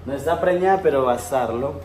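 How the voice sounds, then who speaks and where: A man's voice: medium pitch, quick pace, darkish tone, slight background noise. Playful young man streaming. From Colombia. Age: young adult. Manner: joking, teasing, playful. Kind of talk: casual live-stream chat. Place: house at night, indoors and outdoors.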